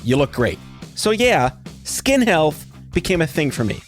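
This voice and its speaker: A man's voice: fairly high pitch, big pitch swings, measured pace, soft background music. A middle-aged man hosting a podcast interview, talking in a blunt, wry and casually profane way. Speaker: podcast host.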